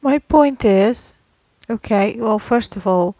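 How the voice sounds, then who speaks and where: A female podcast co-host speaks at a medium pitch with plenty of vocal fry. Her delivery is conversational, questioning and gently challenging. The sound is close and dry, with no room echo. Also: slow. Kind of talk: casual conversational podcast chat.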